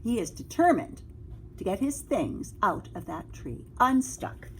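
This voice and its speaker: A woman teacher reading a picture book aloud to children, expressive and exclaiming, often breaking off to draw her listeners in with questions. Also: mid-range voice, a little slow, a little hiss or hum. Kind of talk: read-aloud storytelling with asides and questions to young listeners.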